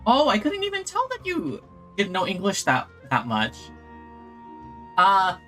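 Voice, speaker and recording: Bright, forceful game streamer. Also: mid-range voice, huge pitch swings, measured pace, faint music behind. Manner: informal, reactive, reads game lines aloud. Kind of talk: casual live let's play commentary. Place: game stream, game music behind.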